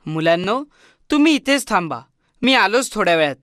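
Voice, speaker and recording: A woman voicing a poor, gentle, loving woodcutter father in a children's fairy tale. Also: mid-range voice, sing-song, normal speed, no echo, clean studio sound, pitched below the performer's own voice. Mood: reassuring.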